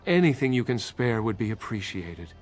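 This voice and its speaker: A man's voice: on the low side, very animated, moderate pace, slight background noise. A man acting as an ingratiating street beggar in a video game, pleading obsequiously and playing up his hardship. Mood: wheedling.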